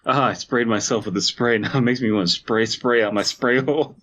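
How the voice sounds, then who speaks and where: A man's voice: mid-range voice, brisk, fairly bright tone. A man co-hosting a podcast, riffing in a goofy, tongue-in-cheek way between casual chat and reading aloud. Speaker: podcast co-host. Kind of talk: casual comedic chat and stumbling read-aloud.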